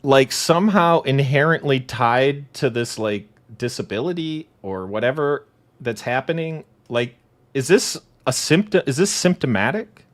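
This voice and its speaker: A man co-hosting a casual political podcast, sarcastic and quick to laugh as he reads comments aloud. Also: lively intonation. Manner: sarcastic, laughing, big swings in pitch. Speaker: podcast co-host.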